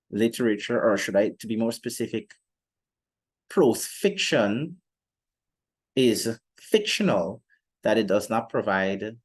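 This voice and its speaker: A young man presenting an explanatory podcast monologue in a measured, deliberate way with formal phrasing. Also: medium pitch. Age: young adult. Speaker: student podcast host.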